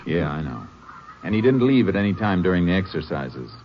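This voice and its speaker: Very deep-voiced Texas Ranger investigator. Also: male voice, very animated, a little hiss or hum. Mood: calm, questioning. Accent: American. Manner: measured, matter-of-fact, firm. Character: calm, methodical male Texas Ranger investigator and narrator. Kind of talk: 1950s radio drama acting and first-person narration. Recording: muffled vintage radio recording, faint music.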